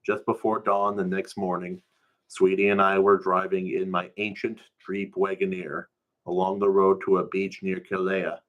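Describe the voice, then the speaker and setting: Low-pitched man reading a story aloud. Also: little pitch movement, moderate pace, muffled recording. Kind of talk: first-person narrative reading, live.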